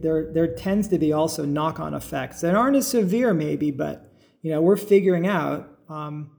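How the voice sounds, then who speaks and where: Middle-aged American man, higher-pitched and creaky. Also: a little mellow. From suburban California, United States. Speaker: podcast guest, biologist turned farmer. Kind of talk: conversational interview answers, explanatory.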